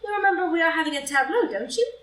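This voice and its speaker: A flippant young society lady, acted by a woman, very high and swooping in pitch. She is vain, playful and teasing in a stage comedy reading. The sound is distant and roomy, with some noise. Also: lively intonation, rapid-fire, fairly bright tone. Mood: breezy, teasing. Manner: arch, breezy, teasing drawl. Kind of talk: group play reading for audio drama. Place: live in-person group reading in a room.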